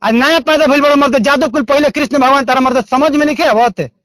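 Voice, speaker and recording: A man's voice: very high-pitched, fast talker, fairly bright tone. A man with a Bhojpuri accent speaking as a caller in a confrontational phone call, in an aggrieved, repetitive, coarse way.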